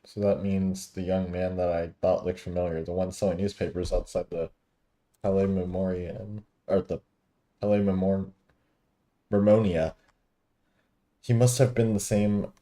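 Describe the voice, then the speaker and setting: Guy streaming a game, deep voice, super mellow and dark, just chatting along. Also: little pitch movement, a little slow, long pauses. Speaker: gaming streamer. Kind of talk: casual game reaction and reading dialogue aloud.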